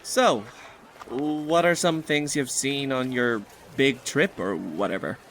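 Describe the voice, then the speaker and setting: A man's voice: mid-range voice, takes it slowly, fairly bright tone, a little hiss or hum. A man voicing a guarded, brooding teenage boy in an audio drama, hesitant and defensive. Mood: curious.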